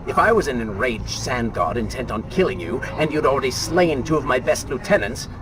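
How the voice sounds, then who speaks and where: An actor playing a prince's selfish, sardonic dark alter ego in a video game, with a taunting, smug manner. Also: male voice, mid-range voice, animated, fairly quick, noisy background, lightly acted. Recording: loud game music behind.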